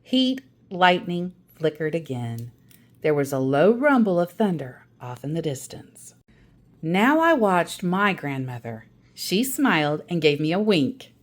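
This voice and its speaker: A woman reading a children's book aloud as a storyteller, with a dramatic, deliberate delivery. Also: deep voice, sing-song. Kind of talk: expressive children's book narration.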